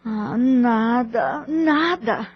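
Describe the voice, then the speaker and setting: Husky, composed mother in a radio drama, acted by a woman. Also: fairly high pitch, drawn-out words, heavily acted. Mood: puzzled, weary. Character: composed, firm middle-aged mother and wife searching. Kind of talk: Portuguese-language audio drama acting. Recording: muffled, lo-fi audio drama track.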